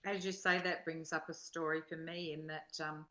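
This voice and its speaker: A woman's voice: sits low, little pitch movement, moderate pace, pushed and bright, a little hiss or hum. A woman in a spiritual study group, sharing reflectively and reading aloud in an earnest, introspective way.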